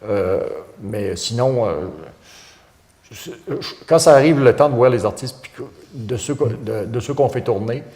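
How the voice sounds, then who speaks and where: A man's voice: mid-range voice, unhurried, warm tone, reverberant. A man, a volunteer radio host answering interview questions with many hesitations and restarts. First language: French.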